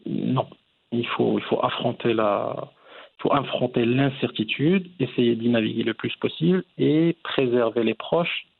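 Man, forties, raspy with vocal fry, thoughtful interview guest, measured conversational delivery. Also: mid-range voice, narrow, tinny audio. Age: 40s. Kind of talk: reflective conversational interview answers.

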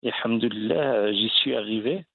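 A man's voice: fairly low pitch, brisk, vocal fry, husky, no echo. A man from Belgium, an actor and guest, telling his story in a casual interview with many fillers and restarts. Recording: dry, phone-like line.

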